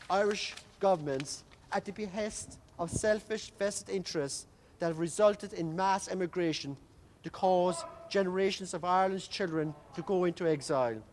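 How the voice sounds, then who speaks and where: High-pitched male voice, formal public reading, deliberate phrasing, outdoor ceremony, faint background noise. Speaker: master of ceremonies at a commemoration. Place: outdoors in a city square.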